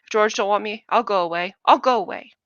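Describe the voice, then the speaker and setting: A woman's voice: mid-range voice, steady tone, fast, a bit bright, no room sound, natural voice. A woman voicing a simple-minded, childlike grown man who pleads anxiously in a storybook reading. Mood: miserable. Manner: pleading, childlike, rising to frantic. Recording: dull lo-fi dry recording.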